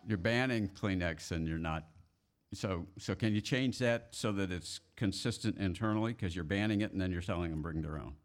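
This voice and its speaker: Deep-voiced man moderating a meeting. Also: moderate pace. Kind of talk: explaining procedure to a group, informal.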